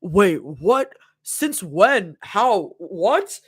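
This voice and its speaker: A woman voicing a cocky, gym-loving young man in a gruff, lowered voice while reading a story aloud. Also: sing-song, very slow, no room sound, clean studio sound, heavily acted. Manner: gruff low put-on voice.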